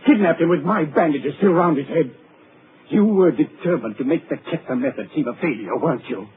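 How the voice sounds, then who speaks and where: An actor playing a tired, patient asylum doctor who speaks calmly and soothingly in a 1940s radio drama. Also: male voice, fairly high pitch, a little fast, lightly acted. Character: weary middle-aged male asylum doctor, patient and firm. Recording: muffled lo-fi 1940s radio broadcast with hiss.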